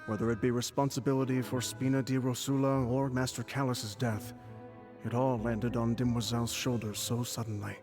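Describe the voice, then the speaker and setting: A man's voice: mid-range voice, little pitch movement, moderate pace, over music, lightly acted. A man playing a devoted, resolute bodyguard in a video game, with a formal, earnest manner.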